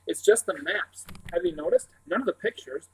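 Man voicing a small, clear-voiced young boy. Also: high voice, lively intonation, normal speed, pitched above the performer's own voice, low-quality recording. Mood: thoughtful. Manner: earnest, hesitant. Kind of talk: audiobook character voice.

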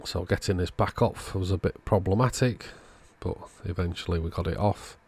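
A man calmly narrating a radio repair at his workbench in a matter-of-fact way. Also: deep voice, moderate pace, slightly rough. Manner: relaxed, matter-of-fact commentary while working. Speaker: electronics repair channel host. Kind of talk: narrated bench repair tutorial. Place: workbench indoors.

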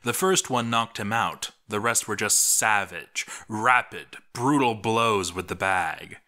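Slow, dramatic male story narrator. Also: on the low side, sharp tone. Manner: theatrical, measured, lingering on phrases.

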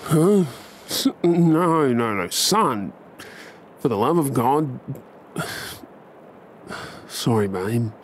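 An Australian man performing an ASMR bedtime roleplay as an affectionate, cheeky young husband and dad, coaxing and hushed. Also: medium pitch, animated, very slow, long pauses, a little hiss or hum. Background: ambient sound effects.

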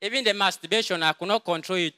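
A young man with a Cameroonian English accent gives a quiet, halting testimony in a large crowded church, speaking into a handheld mic someone else holds. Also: sits high. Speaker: church member giving testimony. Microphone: handheld mic held by another person.

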